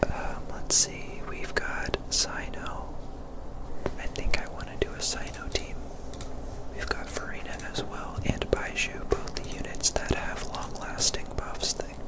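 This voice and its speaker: An ASMR gamer speaking in a breathy, unvoiced whisper, giving casual, explanatory gameplay commentary close to the mic. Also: measured pace, low-quality recording. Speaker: gaming ASMR video creator.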